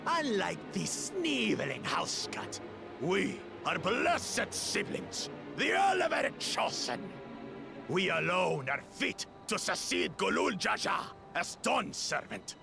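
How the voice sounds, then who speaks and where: A man playing an arrogant, bullying two-headed reptilian warrior in a video game, with a gravelly, mocking, pompous delivery. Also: high voice, sing-song, slow pace, music in the background, exaggerated voice. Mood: boastful menace.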